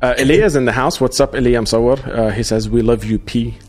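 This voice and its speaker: A man hosting a live podcast, reading out viewer comments in a casual, halting way with many fillers. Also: mid-range voice, steady tone, a little mellow, faint music behind. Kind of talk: casual livestream chat.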